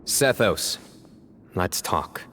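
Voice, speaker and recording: A man playing a stern, resolute young leader in a video game, with a terse, serious and deliberate manner. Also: sits low, expressive, pushed and bright, slight background noise, heavily acted. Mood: curt.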